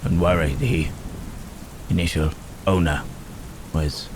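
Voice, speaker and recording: Very deep, halting, gentle monster acted by a man. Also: steady tone. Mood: pensive. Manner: halting, thoughtful, old-fashioned formal phrasing with murmured tags. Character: gentle, melancholy male Frankenstein's monster, protective and hesitant. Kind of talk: ASMR roleplay acting. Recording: rain and thunderstorm noise throughout. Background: rain and thunder.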